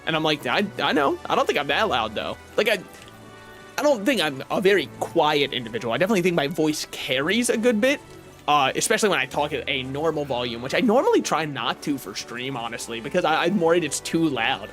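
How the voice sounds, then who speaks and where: A young man streaming a game from his apartment, chatting casually in a rambling, self-mocking way with a booming voice. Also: sing-song, fairly quick, a bit bright. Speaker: vtuber streamer. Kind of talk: casual live-stream chat while gaming. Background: faint game music.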